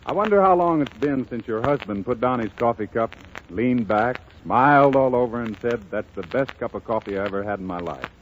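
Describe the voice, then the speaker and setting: A man's voice: mid-range voice, a little mellow. A man reading a scripted 1930s radio commercial in an emphatic, salesmanlike way. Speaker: commercial announcer. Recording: muffled, faintly noisy 1930s radio recording.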